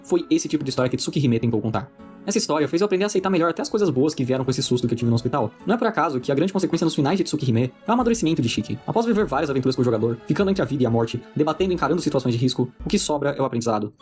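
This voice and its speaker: A man's voice: a little high, darkish tone. A man with a Brazilian accent narrating a scripted personal video essay, earnest and enthusiastic. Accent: Brazilian Portuguese.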